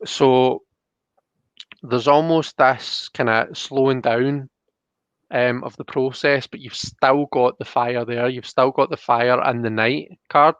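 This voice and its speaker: A man hosting a live card reading, talking casually and explaining as he thinks aloud, with halting phrasing. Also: medium pitch, fairly even intonation, a bit bright, clean tone, dry recording, muffled recording. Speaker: host of a live tarot reading.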